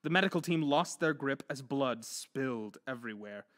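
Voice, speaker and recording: A man's voice: medium pitch, animated, normal speed, very noisy. A man narrating a horror story in an intense, theatrical manner.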